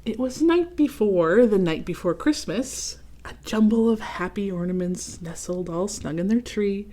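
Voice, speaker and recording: A woman reading a storybook aloud for young children, expressive, with chatty explanatory asides. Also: medium pitch, measured pace. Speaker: children's read-aloud host.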